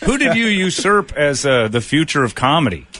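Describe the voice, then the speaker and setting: A man hosting a morning radio interview, bantering and teasing his guests with quick jokes. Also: fairly high pitch, sing-song, bright, forceful tone, a little hiss or hum. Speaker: radio show host.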